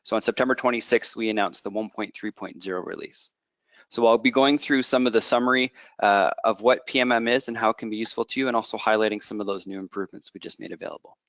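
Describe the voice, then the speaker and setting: Canadian man presenting a software product. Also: on the low side, fairly even intonation, fast, dry recording. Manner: explanatory, frequent fillers and restarts, checks in with okay. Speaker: product manager.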